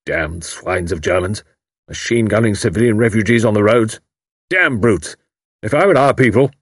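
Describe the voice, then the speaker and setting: A man voicing a blustering, fire-eating old army major ranting gruffly. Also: lowish voice, animated, moderate pace, no echo, studio quality. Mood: indignant.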